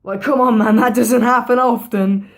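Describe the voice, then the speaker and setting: A woman talks through a horror film in a loose, chatty review, her voice hoarse and worn down. She rambles, restarts her sentences and drops swear words without a second thought. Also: on the high side, steady tone, normal speed. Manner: rambling, swears freely, repeats herself. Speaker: movie reviewer. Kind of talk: casual movie review vlog.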